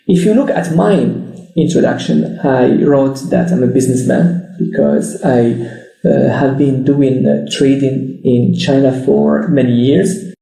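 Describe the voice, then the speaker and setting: Man, gravelly voice, somewhat high pitch, tutorial trainer, echoey room. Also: warm tone. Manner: explains step by step, often checks with "right?"; non-native phrasing, loose grammar. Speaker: business marketing trainer. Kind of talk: screen-share tutorial.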